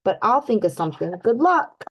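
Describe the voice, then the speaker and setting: A woman voicing a small, timid but determined male piglet in a storybook reading, soft and earnest. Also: fairly high pitch, very animated, brisk, slightly rough, muffled recording, heavily acted.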